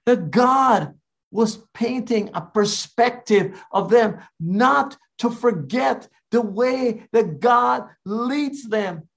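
Slow, deliberate Guyanese-born male preacher. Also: extremely high pitch, lively intonation, gravelly. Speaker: evangelist preacher. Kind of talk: sermon.

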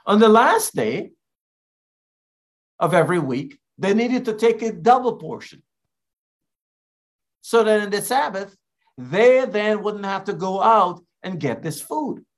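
A man from Guyana, an evangelist, preaching a sermon in a slow, deliberate way with emphatic rises. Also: sits high, animated.

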